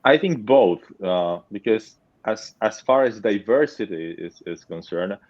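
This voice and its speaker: A man from Poland answers as a webinar panel guest in a low voice, halting and repeating words as he goes. The sound is dull and dry. It has a slightly lo-fi quality, with no room around it. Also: expressive, a little mellow, dry recording. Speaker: panel guest, tech company leader.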